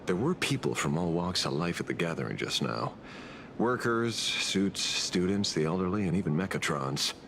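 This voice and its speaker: A man's voice: low-pitched, sing-song, normal speed, a bit bright, slight background noise, exaggerated voice. A man playing a sly, teasing veteran investigator in a video game, with a casual, wry and theatrical delivery. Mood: wry, suspicious. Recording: music behind the voice.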